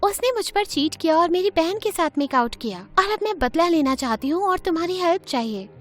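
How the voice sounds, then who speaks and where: A woman voicing a scheming, popular teenage girl who talks in a pleading, persuasive way in an animated story. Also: very high voice, a little fast.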